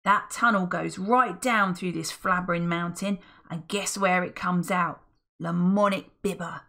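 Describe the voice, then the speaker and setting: Storybook villain, old man, coarse and boastful, gravelly, read by a woman. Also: on the low side, fairly even intonation, a little slow, bright, forceful tone, exaggerated voice. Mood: scheming. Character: gruff nasty old male villain.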